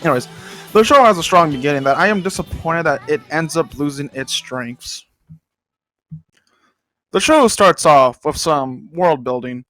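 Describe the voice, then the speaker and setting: A man's voice: on the high side, a bit bright, no echo. A young man hosting a casual comedic anime commentary, rambling with self-deprecating jokes muttered under his breath. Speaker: host.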